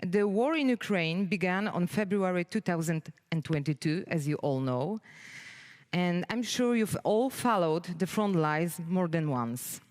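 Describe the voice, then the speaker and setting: A woman's voice: lowish voice, expressive, unhurried, a little mellow. A woman formally introducing sessions and speakers from a conference stage as host.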